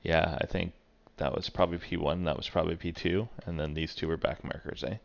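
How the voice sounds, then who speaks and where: A man's voice: sits low, flat delivery, fairly quick, creaky, lo-fi sound. A man streaming sim racing, casually talking through a race replay and thinking aloud with many fillers.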